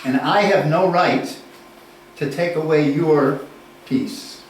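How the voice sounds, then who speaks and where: A man giving a university lecture, deliberate and unhurried, posing questions and then answering them. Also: on the high side, a little hiss or hum. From United States. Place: lecture room. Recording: echoey room, distant mic, faint ambience.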